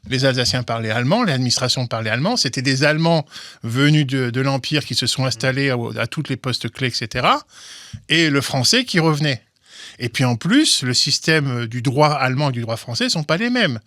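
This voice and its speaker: Man, mid-pitched, halting explainer, close dry mic. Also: animated, sharp tone, no room sound. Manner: halting, many restarts and repeated words. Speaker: guest, legal commentator. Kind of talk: explanatory interview talk.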